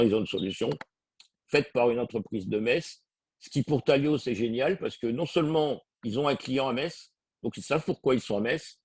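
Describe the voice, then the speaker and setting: A man's voice: medium pitch, a little mellow, husky, dry recording. A man speaking as a guest in a webinar, hesitating and repeating words as he explains. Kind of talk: webinar presentation.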